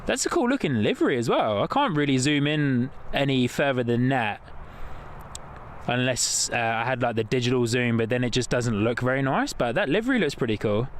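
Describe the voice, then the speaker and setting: Uk guy hosting a plane spotting stream, chatty and excited, mid voice, faint outdoor noise behind. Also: medium pitch, a little fast. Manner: enthusiastic, chatty, reads viewer comments aloud. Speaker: plane spotting livestream host. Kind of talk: casual live commentary. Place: outdoors near an airport.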